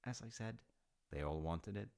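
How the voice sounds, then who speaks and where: A man's voice: fairly low pitch, moderate pace, creaky, a little mellow. A man playing an easygoing dad in an intimate audio roleplay, telling old myths casually with wry, understated humour. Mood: wryly amused. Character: easygoing, artsy dad telling Norse myths to his grown child.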